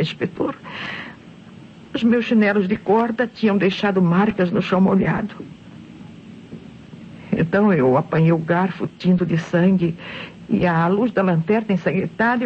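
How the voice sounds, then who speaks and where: An actress with a Brazilian accent playing a distraught wife confessing to a killing, halting and pleading in old radio drama style. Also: female voice, medium pitch, close to an everyday voice. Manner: halting, pleading, repeats words when faltering. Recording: dull, noisy old radio recording.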